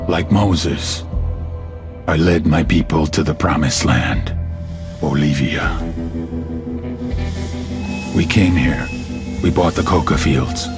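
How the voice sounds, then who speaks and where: A man's voice: a bass voice, takes it slowly, pauses often, music in the background. A man playing a grandiose, menacing cartel boss, giving a solemn, boastful villain monologue in a video game. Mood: grandiose menace.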